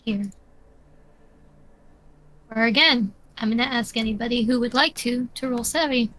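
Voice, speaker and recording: Woman game master, fairly high voice. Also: moderate pace, a bit bright, low-quality recording. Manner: matter-of-fact, explaining rules. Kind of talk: narrating a tabletop game.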